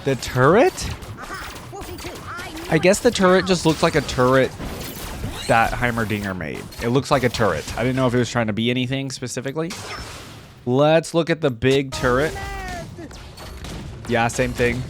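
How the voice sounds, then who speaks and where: A man with a mid-pitched, animated voice that moves up and down freely. He gives casual reaction commentary on a game, with music clearly playing behind him. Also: moderate pace, long pauses. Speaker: video creator reacting to game skins.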